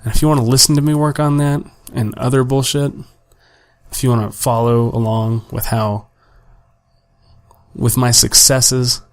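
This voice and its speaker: A young man, slightly raspy from a long day of talking, hosting a solo podcast at home and rambling casually and profanely about his week, with plenty of self-deprecation. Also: medium pitch. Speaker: podcast host. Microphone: home desk microphone without pop filter.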